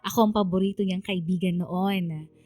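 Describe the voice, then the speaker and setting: A woman's voice: on the low side, steady tone, moderate pace, mellow, dry recording. A woman with a Filipino accent narrating a scripted radio story in an earnest, sentimental way.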